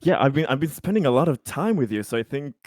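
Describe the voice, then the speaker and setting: A man plays a tabletop game and talks casually. His pitch moves around in a lively, animated way, and the sound is dry and close. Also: quick pace, dry recording.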